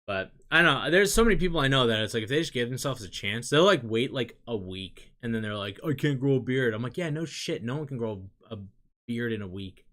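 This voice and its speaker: A young man chatting loosely with his viewers, rambling and trailing off into quiet asides. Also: medium pitch, lively intonation, brisk. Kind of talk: casual rambling chat.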